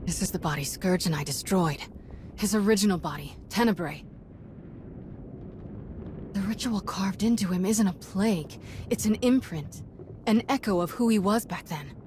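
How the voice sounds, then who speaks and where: An actress playing a calm, wise mystical knight in a video game, with a soft, husky voice and a measured, solemn manner. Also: female voice, mid-range voice, a little hiss or hum, natural voice. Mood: grave, focused. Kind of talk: scripted video game acting.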